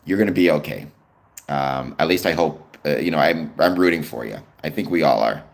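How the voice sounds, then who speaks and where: A man's voice: rumbling low, brisk, creaky. A young Canadian man with a Canadian accent hosts his live stream in easygoing chat, answering viewers' comments with frequent ums. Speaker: livestream host.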